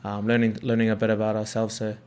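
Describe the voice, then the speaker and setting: A man's voice: on the low side, monotone, fast, a little mellow, clear, resonant tone. A young man from New Zealand with a New Zealand accent, chatting casually about his life as a rugby league player, rambling and laid-back. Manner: laid-back, rambling, lots of fillers and swearing. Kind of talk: casual podcast chat.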